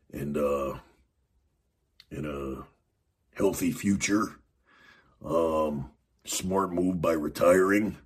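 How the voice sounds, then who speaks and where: A man hosting a show, talking in a raspy voice in loose, rambling casual commentary full of fillers. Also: very deep voice.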